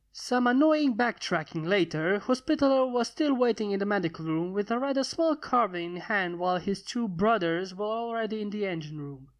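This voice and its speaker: A narrator reading a scripted story aloud, telling it in prose and putting on voices for the characters. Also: mid-range voice. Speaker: fan fiction narrator.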